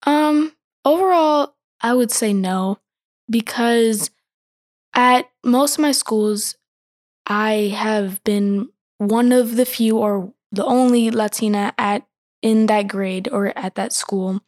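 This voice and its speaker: Thoughtful teenage girl, pausing often. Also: a little high, a little mellow, dry recording, clean studio sound. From born in Ecuador, raised in the United States. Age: teens. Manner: thoughtful, hesitant, many fillers. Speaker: guest. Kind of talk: interview answers.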